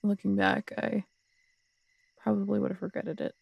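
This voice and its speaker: A woman playing a tough, strong-headed city detective in an intimate audio roleplay, husky and gravelly, telling things in a wry, unhurried, conversational way. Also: mid-range voice, monotone, darkish tone, no echo. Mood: reflective. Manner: wry, unhurried storytelling with casual swearing.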